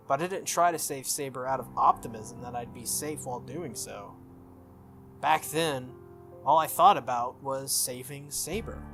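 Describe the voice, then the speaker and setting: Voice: mid-range voice, fairly bright tone, lightly acted. A game player voicing an earnest, stubborn, easily flustered teenage boy from a visual novel, hesitant and defensive.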